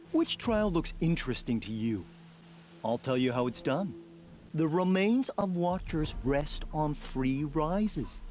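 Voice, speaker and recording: An actor voicing a quirky, chatty outcast hunter in a video game, with an eccentric, theatrical manner. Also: male voice, on the high side, darkish tone. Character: quirky, chatty, self-conscious outcast hunter running trials. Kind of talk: video game character acting. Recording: game music behind the voice. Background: game music.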